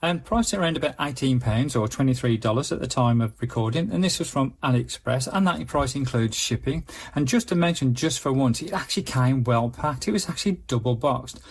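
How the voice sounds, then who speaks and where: A man's voice: medium pitch, animated, fairly quick, husky. A man giving a casual, chatty product review, explaining features as he demonstrates them.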